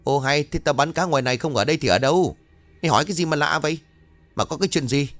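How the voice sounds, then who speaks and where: A man voicing an older village fishmonger woman who gossips and scolds in brash, quick market talk. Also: a little high, fairly bright tone, dry recording, soft background music, pitched above the performer's own voice, close to an everyday voice. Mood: scolding.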